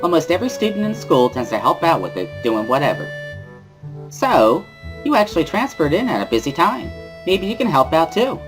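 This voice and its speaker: A man acts out a bubbly teenage schoolgirl from a visual novel, pitched high and swooping all over. The delivery is loud, exuberant and sing-song, given to giggles. Music plays behind the voice. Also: big pitch swings, fairly quick, rough recording. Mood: helpful, perky.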